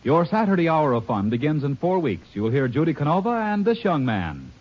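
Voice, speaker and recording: A man's voice: a little high, sing-song, brisk. A male announcer reading an upbeat network promo on old radio. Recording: muffled old radio broadcast.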